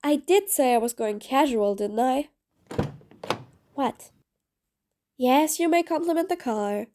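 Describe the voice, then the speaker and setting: Woman acting a high-voiced sardonic female supervillain. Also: expressive, slow, mellow, slight background noise. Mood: grudging, teasing. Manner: sarcastic, dry, exasperated. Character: mean but patient female supervillain, sardonic, grudgingly soft. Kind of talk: audio roleplay acting addressed to the listener.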